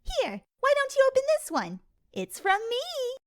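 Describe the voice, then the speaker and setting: Woman playing a bubbly, high-voiced pony mother. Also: unhurried, heavily acted. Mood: cheerful. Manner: gushing, excitable. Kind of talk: fan fiction dramatic reading.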